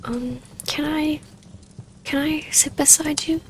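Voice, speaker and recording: A woman acting a wistful, tender vampire baroness in an ASMR roleplay, speaking softly and haltingly in an intimate delivery. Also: sits high, steady tone, noisy background.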